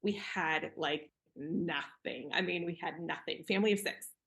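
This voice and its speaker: A woman's voice: fairly low pitch, measured pace, gravelly. Easygoing woman in her forties reminiscing. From Cameroon. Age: 40s. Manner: warm, easygoing, with fillers and laughs. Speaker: podcast guest. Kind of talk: casual reminiscing.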